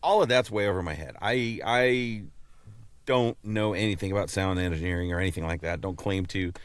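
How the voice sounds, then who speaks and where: A man hosting a podcast in casual chat, talking in a halting way and often repeating words as he explains. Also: low voice, clear, resonant tone, no room sound. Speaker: podcast host.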